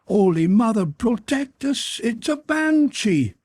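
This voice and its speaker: A man's voice: extremely high pitch, very animated, takes it slowly, a little mellow, no echo, studio quality, pitched above the performer's own voice, exaggerated voice. A man voicing a blustering, superstitious, hot-tempered crewman in an audiobook, exclaiming and growling. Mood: alarmed. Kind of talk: audiobook character voice.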